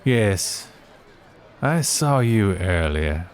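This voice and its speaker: A man playing an aloof, sneering, composed elf in a fantasy audio drama, with measured, haughty speech. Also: on the low side, very animated, some chatter behind, exaggerated voice.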